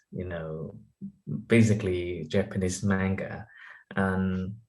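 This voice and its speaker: A man from Hong Kong, an artist guest introducing himself haltingly, with many restarts and fillers. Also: sits low, a little mellow. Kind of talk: conversational panel talk.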